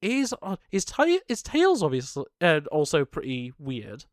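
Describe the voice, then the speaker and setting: Young adult man, high smooth voice, dry close sound, casual game trailer commentary, rambling, thinking aloud. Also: huge pitch swings, normal speed, no echo. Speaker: video game trailer reactor. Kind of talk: casual off-the-cuff reaction commentary.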